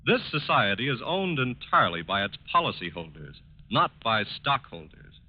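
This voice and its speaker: Very animated vintage male radio announcer. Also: medium pitch, normal speed. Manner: formal, emphatic. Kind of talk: 1940s radio sponsor announcement. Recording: dull vintage radio recording.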